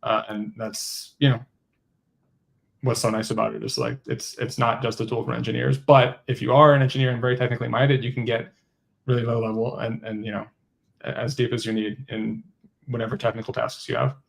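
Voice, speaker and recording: A man with a noticeably creaky, mid-pitched voice gives conversational answers as a guest engineer. He explains technical work informally, thinking his way through each point aloud. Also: little pitch movement, a little fast. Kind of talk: webinar interview answers, conversational tech talk.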